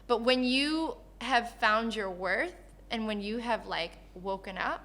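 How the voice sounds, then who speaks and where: A woman from Malaysia who grew up in Canada, talking casually as a podcast guest, laughing often and telling stories in an animated way. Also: on the high side, a little slow. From Malaysia, raised in Canada. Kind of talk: casual interview chat.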